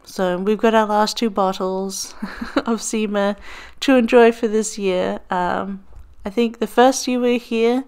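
A woman's voice: mid-range voice, fairly even intonation, a little slow, darkish tone, clean tone. A woman giving a casual, rambling voiceover over her planning footage, chatty with frequent ums and a slightly crackly morning voice. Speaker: planner vlogger. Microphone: voiceover over overhead desk footage.

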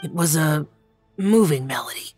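A man voicing a reserved, brooding young demon-slayer in a video game, terse and halting. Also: a little high, lively intonation, darkish tone, slightly rough, no room sound, faint music behind. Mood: awkward. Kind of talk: scripted video game voice acting.

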